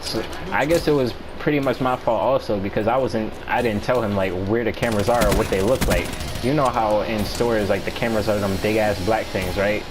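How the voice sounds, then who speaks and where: An American man with a low voice tells a story in a casual, conversational way. His delivery is halting, slangy and profane. There is clear noise behind him. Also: fairly even intonation. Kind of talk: casual storytelling chat among friends.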